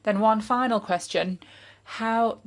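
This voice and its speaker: A woman whose first language is French chairs a parliamentary hearing in English, formal and polite with the odd filler pause. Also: a little high. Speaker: committee chair.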